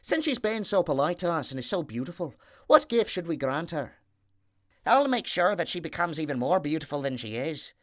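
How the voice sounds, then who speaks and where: A man's voice: on the high side, big pitch swings, moderate pace, voice pushed up. A man doing kindly little forest men.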